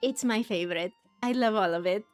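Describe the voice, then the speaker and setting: A woman's voice: medium pitch, brisk. A woman giving casual commentary as she plays through a game, chatty and musing aloud, with game music behind. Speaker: gaming streamer. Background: faint game music.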